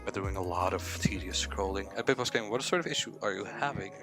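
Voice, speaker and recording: A man's voice: on the low side, quick pace, fairly bright tone, soft background music. A young man from the Netherlands with a Dutch accent, streaming a live coding session, rambling to himself and chatting with viewers. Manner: rambling, talks to himself, hums filler syllables, swears casually.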